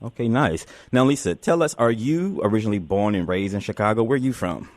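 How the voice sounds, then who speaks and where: A man's voice: on the low side, expressive, a little fast, no echo. A man hosting a live community radio interview show, often repeating himself as he goes.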